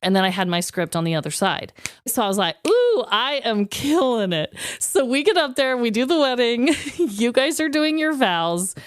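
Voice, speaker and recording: Animated, clear-voiced woman co-hosting a podcast. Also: fairly high pitch, normal speed, no echo. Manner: animated, self-deprecating, swears freely. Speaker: podcast co-host. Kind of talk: casual comedic chat and storytelling.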